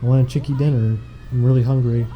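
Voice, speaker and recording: A young man chatting casually on a game stream, reading out donation messages in a relaxed, meandering way. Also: medium pitch, fast talker, highs cut off, a little hiss or hum.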